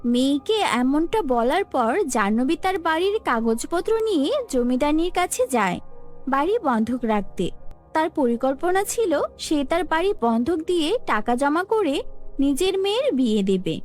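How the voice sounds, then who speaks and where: Very high-pitched woman storyteller. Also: sing-song, unhurried, faint music behind. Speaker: narrator. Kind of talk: scripted fairy-tale storytelling narration.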